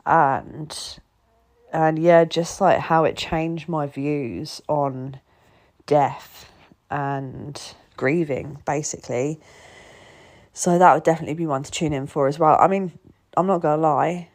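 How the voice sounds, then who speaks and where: A woman's voice: deep voice, slow, a little mellow. A woman hosting a podcast, chatting enthusiastically and casually with plenty of filler words. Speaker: podcast host.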